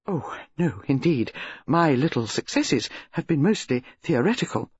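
A reader voicing Miss Marple, a prim, gentle elderly English spinster who speaks mildly and hesitantly. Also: medium pitch, sing-song, unhurried, slightly rough, no room sound, dull audio.

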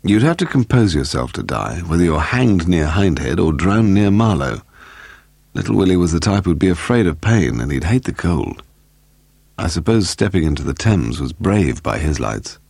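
Man playing a weary gentleman detective. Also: low-pitched, sing-song, normal speed, vocal fry, husky, dry recording, heavily acted. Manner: dry, resigned.

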